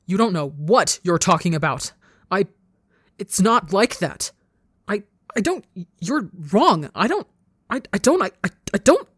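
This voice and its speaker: A woman's voice: extremely low pitch, steady tone, brisk, no echo, clean studio sound. A woman voicing a fearful, defensive young man who stutters and repeats words, in a dramatic story reading. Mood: panicked. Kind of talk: dramatic audiobook-style character dialogue.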